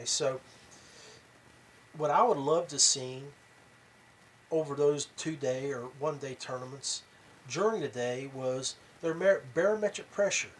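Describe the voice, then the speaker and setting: A man hosting a podcast, talking casually and haltingly to his viewers, rambling along with pauses and repeats. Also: a little high, slight background noise. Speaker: podcast host. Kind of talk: casual rambling talk to viewers about tournament fishing.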